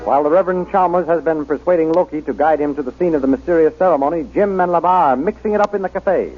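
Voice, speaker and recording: A man's voice: on the high side, fast talker, faint music behind. A man narrating an old-time radio adventure serial in a dramatic scripted style. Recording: noisy, muffled old radio recording.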